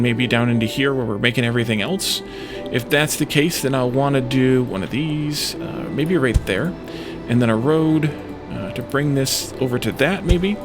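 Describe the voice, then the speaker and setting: A man giving casual gameplay commentary, thinking aloud and musing his way through problems as a let's play host. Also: mid-range voice. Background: background music.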